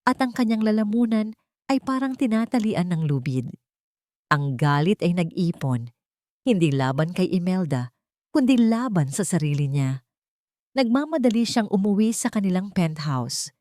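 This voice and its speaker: A woman's voice: mid-range voice, sing-song, moderate pace, dark tone, no room sound, studio quality. A woman giving a scripted, dramatic narration of an audio love-and-betrayal story.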